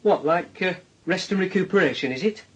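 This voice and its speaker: A persistent, husky Northern English detective. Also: male voice, high-pitched, fairly quick, fairly bright tone, muffled recording. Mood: coaxing, insistent. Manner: coaxing, dryly ironic. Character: wheedling, persistent plain-spoken police detective. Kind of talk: naturalistic radio drama acting.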